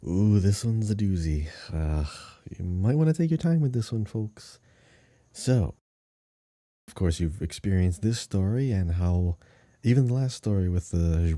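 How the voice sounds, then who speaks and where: A man's voice: deep voice, big pitch swings, moderate pace, warm tone, no echo, clean studio sound. A man co-hosting a podcast, chatting casually about a video game in an enthusiastic, emphatic way. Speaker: podcast co-host.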